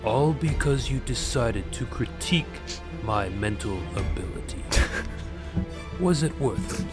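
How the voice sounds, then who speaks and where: A man's voice: medium pitch, expressive, loud music behind the voice. A man doing a smug menacing hacker. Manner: slow, theatrical threats, stifled laughs.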